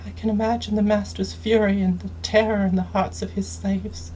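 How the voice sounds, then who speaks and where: A woman with a soft, dark-toned, mid-pitched voice reads old tales aloud. Her delivery is measured and literary, in the dramatic way of a storyteller. Clear background noise sits under the recording. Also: flat delivery, poor audio. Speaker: game streamer reading in-game texts aloud.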